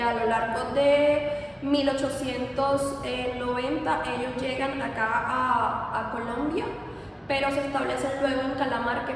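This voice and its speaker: A woman in her late twenties from Venezuela, giving a hesitant, self-correcting personal account as an interviewee. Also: a little high. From Venezuela, Margarita Island. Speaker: interviewee, university student. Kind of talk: informal personal recollection in an interview. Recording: very echoey hall, distant mic, noisy.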